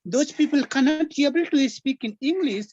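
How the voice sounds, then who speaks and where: A man from Nepal with a Nepali accent, a native Nepali and Maithili speaker, giving a formal, halting self-introduction as a guest in an English practice conversation on a video call. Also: very high-pitched, darkish tone, no room sound, dull audio.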